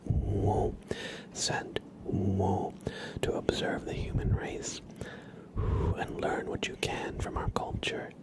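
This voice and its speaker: A man hosting an ASMR livestream, speaking in a breathy whisper and soft murmur, soothingly repeating words to viewers at a close microphone. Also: deep voice, wildly swooping pitch, slow. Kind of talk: ASMR, slow whispered roleplay and chat to viewers.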